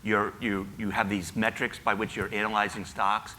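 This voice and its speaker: A man's voice: lowish voice, little pitch movement, normal speed, pushed and bright, slight background noise, rough recording. A man on a conference panel, explaining his views with enthusiasm; he has a son in college. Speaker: panelist, retirement editor. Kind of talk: casual panel answer. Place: conference hall.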